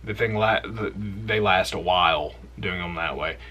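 Bright-voiced young man casually recommending gear. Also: deep voice, unhurried. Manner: relaxed, rambling, enthusiastic with trailing asides. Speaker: outdoors channel host. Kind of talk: casual product recommendation vlog. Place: small indoor room.

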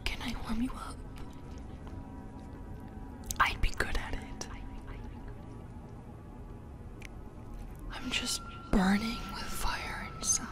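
A seductive female sleep paralysis demon, acted out by a woman, whispers in a fairly high voice. She lingers slowly over her words, teasing and possessive with a playful menace, in an ASMR roleplay with music behind her. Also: wildly swooping pitch, full character voice. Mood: coaxing, seductive.

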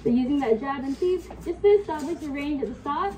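A woman leading a kickboxing class at home, giving brisk coaching cues while sniffly from a cold, recorded on a far camera. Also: high-pitched, lively intonation, darkish tone. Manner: coaching cues, counting time, brisk commands. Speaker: fitness instructor. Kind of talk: live kickboxing class instruction. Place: home workout room. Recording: distant camera mic in a room with faint noise.

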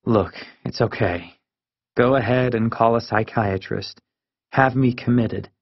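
A man's voice: medium pitch, unhurried, no echo, highs cut off. A man voicing an anxious, stubbornly logical schoolboy in an audiobook, terse and defensive. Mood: resigned, sarcastic.

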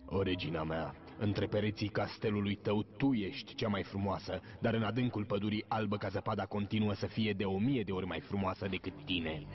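An actor playing a talking magic mirror in a dubbed fairy-tale cartoon, measured and courtly. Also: male voice, lowish voice, steady tone, dull audio, lightly acted. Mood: solemn. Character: talking magic mirror, formal servant of a queen.

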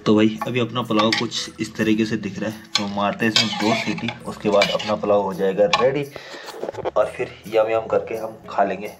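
Low-voiced young man vlogging. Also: flat delivery, moderate pace, heavy background noise. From Uttarakhand, India. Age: 20s. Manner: playful, chatty, addresses viewers as friends. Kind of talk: casual vlog narration to viewers. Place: village house kitchen and stone courtyard. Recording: music laid under the voice. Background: music.

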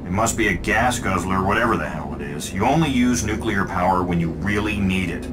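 An actor playing a cocky, sardonic billionaire inventor superhero with a flippant, dry delivery in a video game. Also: male voice, deep voice, a little fast, in a large hall, noisy background, close to an everyday voice. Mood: defensive.

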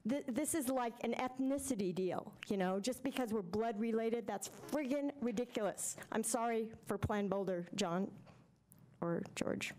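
A woman's voice: on the high side, moderate pace, a little mellow, poor audio. A middle-aged woman giving blunt, exasperated public comment at a podium microphone in a council chamber. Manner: blunt, exasperated, gesturing as she talks. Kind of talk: public comment at a city meeting.

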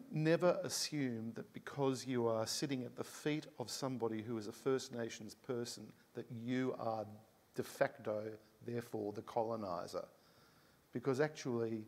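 A man's voice: mid-range voice, long reverb tail. A man, a composer answering an audience question on a stage panel. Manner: animated, laughing, gestures while talking. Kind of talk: reflective panel talk. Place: concert hall stage, panel before an audience.

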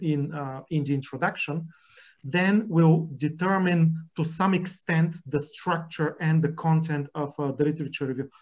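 A man's voice: a little high, darkish tone. A man, a journal editor on a video call giving advice at an academic workshop, with many hesitations and restarts. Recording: muffled video call audio.

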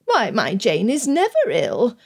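A woman voicing a fussy, indignant middle-aged Regency gentlewoman who scolds and sniffs, in an audiobook story. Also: fairly high pitch, huge pitch swings, measured pace, vocal fry, slightly rough, exaggerated voice. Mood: boastful.

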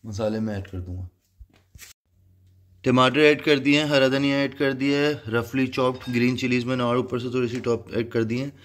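Relaxed young man mixing English into Hindi. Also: mid-range voice. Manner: mixes Hindi-Urdu with English phrases, relaxed and chatty. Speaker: home cook hosting a cooking channel. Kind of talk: casual cooking demonstration, voice-over. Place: home kitchen.

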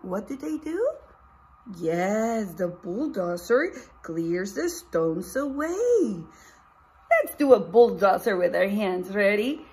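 A woman in her forties, a preschool teacher reading aloud to young children in an animated way, asking questions and pausing for answers. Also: mid-range voice. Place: small indoor room with picture books behind.

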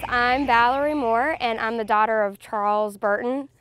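A woman's voice: a little high, moderate pace, noisy background. A woman in her thirties from a farming family, interviewed outdoors on a lapel mic, talking conversationally with frequent "um" fillers. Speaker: farm family member. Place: outdoors in tall grass by trees.

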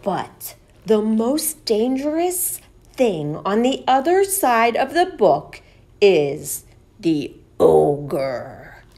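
A woman voicing a small boy knight in a children's picture book read-aloud, with drawn-out, dramatic delivery. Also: mid-range voice, very animated, warm tone, full character voice. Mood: smug, wary. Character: small boy knight, smug then alarmed, storybook.

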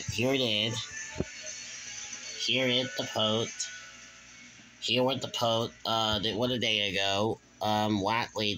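A man giving a casual, halting, repetitive show-and-tell narration into a handheld phone in a room at home. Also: on the low side, bright, forceful tone, poor audio. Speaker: video host.

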